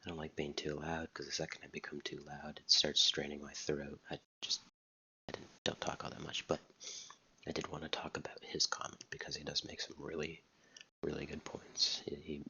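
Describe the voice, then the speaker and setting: A young man in his late teens talking softly, almost whispering, with a halting way of repeating words, recorded close to the mic in his dorm room. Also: very deep voice, monotone, lo-fi sound. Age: young adult. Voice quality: soft, near-whispering voice. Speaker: vlogger. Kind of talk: soft-spoken personal vlog. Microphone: close mic, wet mouth sounds.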